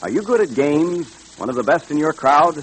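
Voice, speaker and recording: A man's voice: on the high side, animated, normal speed, husky, poor audio. A man with an American accent delivering scripted 1940s radio announcing in an emphatic, salesmanlike way.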